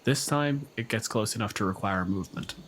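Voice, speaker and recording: A man's voice: lowish voice, a little fast, a bit bright, a little hiss or hum. A man acting as game master, casually narrating a tabletop game in a descriptive, unscripted way. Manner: descriptive, casual, swears freely.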